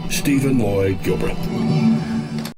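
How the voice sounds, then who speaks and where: A man's voice: mid-range voice, drawn-out words. A man announcing the opening of a news show. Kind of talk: announcer intro. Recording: loud music underneath.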